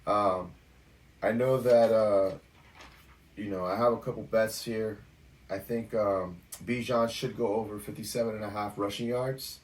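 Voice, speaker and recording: A fairly low-voiced man hosts a casual football podcast. He runs through stats and matchups in an easygoing, loose way. His voice sits a bit back from the mic. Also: measured pace, distant mic, a little hiss or hum, poor audio. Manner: relaxed, talks through stats with fillers. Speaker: podcast co-host. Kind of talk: casual sports podcast chat.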